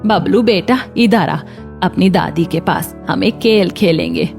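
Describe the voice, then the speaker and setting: A woman voicing a kindly elderly grandmother who coaxes gently in a cartoon story. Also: fairly low pitch, a little slow, gravelly, soft background music. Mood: coaxing, gentle.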